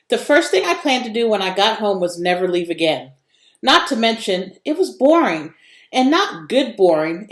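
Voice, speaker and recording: Echoey woman reading a children's novel aloud. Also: mid-range voice. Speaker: read-aloud narrator. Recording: echoey room.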